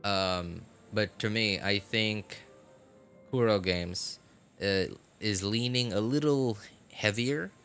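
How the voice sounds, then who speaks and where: A man's voice: lowish voice, takes it slowly, fairly bright tone. A man chatting casually on a gaming livestream, rambling and correcting himself as he goes, with a chuckle now and then. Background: background music.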